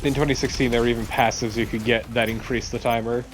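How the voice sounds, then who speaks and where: A man's voice: mid-range voice, fairly even intonation, fast talker, low-quality recording. A man giving casual, joking and sweary commentary on a video game. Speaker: let's play commentator.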